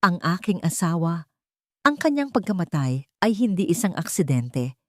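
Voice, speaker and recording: A woman voicing a dignified, determined widow who speaks calmly and firmly in a story. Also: fairly low pitch, moderate pace, no echo, studio quality. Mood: sorrowful, resolute. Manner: clear, calm, firm. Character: dignified, determined young widow standing up for herself.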